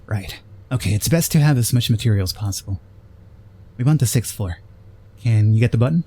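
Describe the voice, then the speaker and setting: A man's voice: medium pitch, lively intonation, a little fast, warm tone, slightly rough, pitched above the performer's own voice. A man voicing an ambitious, opportunistic female TV reporter in a horror game, in an assertive, matter-of-fact way. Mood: brisk, insistent. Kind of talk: reading game dialogue in character.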